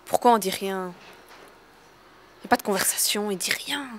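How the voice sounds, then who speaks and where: A woman's voice: medium pitch, moderate pace, noisy background, close to an everyday voice. A young woman playing a sulky girl on a date, muttering sarcastic asides about him. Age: young adult.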